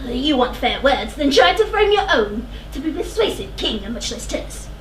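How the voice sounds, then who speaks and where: An actress playing a blind old prophet in a stage verse drama, declamatory, foreboding and taunting. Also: female voice, high-pitched, sing-song, moderate pace. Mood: wary warning. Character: blind old female seer, ominous and defiant. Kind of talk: theatrical verse stage acting. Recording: echoey theatre, distant mic, faint noise.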